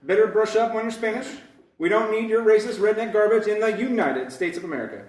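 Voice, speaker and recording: A man's voice: sits high, fast, a little mellow, close to an everyday voice. A man playing a brash, taunting President giving a mock-solemn, crude address to the nation in a comedy sketch. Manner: speechlike, crude and insulting. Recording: roomy, distant-mic pre-recorded sound.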